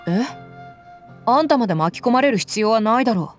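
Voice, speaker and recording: A woman's voice: fairly high pitch, fairly quick, music in the background, lightly acted. Woman voicing a blunt young mercenary. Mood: tough. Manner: rough, casual, relaxed speech. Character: tough young female mercenary, blunt and easygoing.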